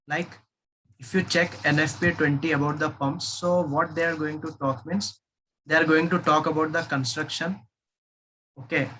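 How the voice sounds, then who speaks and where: An Indian-accented man with a slightly high voice works through a technical document like an instructor. His delivery is informal and halting, circling back over points as he explains. There is some faint noise in the recording. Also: fairly bright tone, low-quality recording. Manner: halting, repetitive, explanatory, with checking tags.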